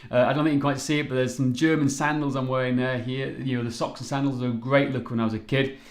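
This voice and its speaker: A man's voice: medium pitch, level tone, quick pace, roomy sound. A man in his forties with a Welsh accent, Welsh his first language, giving a live fitness webinar in an upbeat, chatty way full of rhetorical questions. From Wales. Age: 40s. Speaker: fitness coach and company founder.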